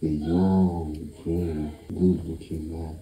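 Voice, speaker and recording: A man's voice: extremely low pitch, flat delivery, slow pace, highs cut off. A man, a reality show housemate, casually telling a bedtime story and bantering. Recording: echoey indoor room pickup.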